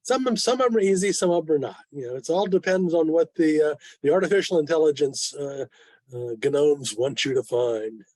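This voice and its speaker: A man's voice: fairly high pitch, lively intonation, brisk, a little mellow, no room sound. An older man in his seventies presenting informally to a club on a video call, with a wry, self-deprecating manner. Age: 70s. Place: online video meeting.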